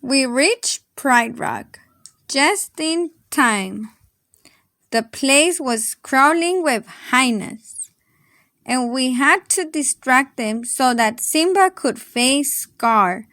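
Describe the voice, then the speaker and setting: A woman reading a children's storybook aloud in an expressive, deliberate, dramatic way. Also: sits high, dry recording, studio quality.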